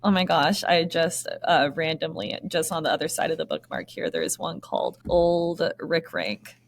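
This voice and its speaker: A woman's voice: sits low, lively intonation, normal speed, slight background noise. A woman co-hosting a podcast in casual comedic banter and story reading, irreverent and swearing freely. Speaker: podcast co-host.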